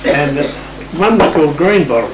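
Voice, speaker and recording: A man's voice: a little high, lively intonation, moderate pace. An Australian man being interviewed, chatting and telling stories in a discursive, unscripted way. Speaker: interview guest. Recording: roomy, distant mic, loud room hum.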